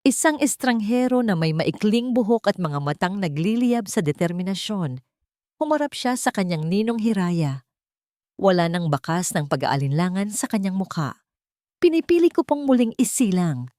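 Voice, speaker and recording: A Filipino woman narrates a scripted drama in a fairly low voice. The delivery is that of a storyteller reading prepared text. The sound is very dry, with no room on it. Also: darkish tone, dry recording.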